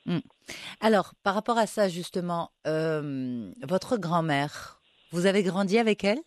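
A man's voice: sits high, lively intonation, measured pace, dry recording, clean studio sound. A man interviewing a guest, asking questions hesitantly and searching for words.

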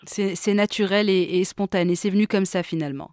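A woman's voice: mid-range voice, fairly even intonation, fairly quick, a little mellow, clean tone, dry recording. A woman hosting an interview, asking questions and affirming with brief interjections. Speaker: interview host.

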